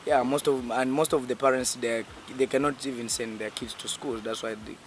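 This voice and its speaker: A man's voice: medium pitch, fairly even intonation, normal speed, a little hiss or hum. A young man in his twenties with a Malawian English accent, a sponsored student speaking earnestly and repeating himself in an interview. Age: young adult. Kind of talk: casual interview answer.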